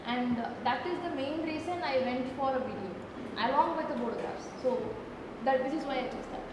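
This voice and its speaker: A woman with an Indian Tamil accent speaks in a high voice, presenting her work off the cuff. She halts and repeats words as she explains. The sound is heavily echoed, with clear noise behind her. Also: fairly quick. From Tamil Nadu, India. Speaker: photographer presenting a residency project. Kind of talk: unscripted panel presentation. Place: large reverberant indoor event space.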